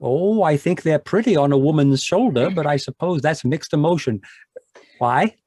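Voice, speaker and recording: A man's voice: on the high side, animated, normal speed, a little mellow, slightly rough, dry recording, studio quality. A man playing a genial, boozy ex-doctor sidekick in a 1940s-style radio drama, talking in a florid, rambling, mock-dignified way. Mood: wry, curious. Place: live remote video-call performance.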